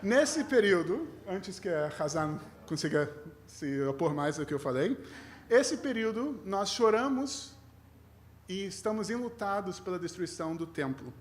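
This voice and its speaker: Guest rabbi, male, Brazilian accent with a mixed tinge, lightly raised pitch, conversational sermon with self-deprecating humour. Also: sing-song, unhurried. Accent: Brazilian with a mixed foreign tinge. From Rio de Janeiro, Brazil.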